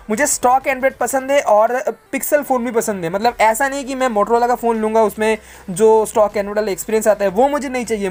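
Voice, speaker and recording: An Indian tech channel host casually reads out and answers viewer questions, explaining things conversationally and mixing English tech terms into the talk. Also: mid-range voice. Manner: conversational, explanatory, addresses listeners as bro and friends.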